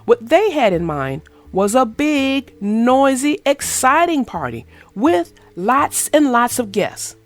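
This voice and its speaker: A woman reading a children's picture book aloud in an expressive, sing-song storytelling manner. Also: a little high, slow, few pauses, soft background music. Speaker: storybook reader. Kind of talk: children's read-aloud narration.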